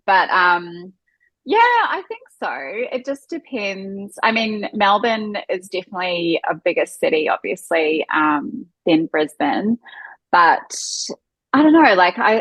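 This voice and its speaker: Female podcast guest, New Zealand accent, slightly low register, informal and enthusiastic, very dry sound. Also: no room sound, dull audio. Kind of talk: casual conversational chat.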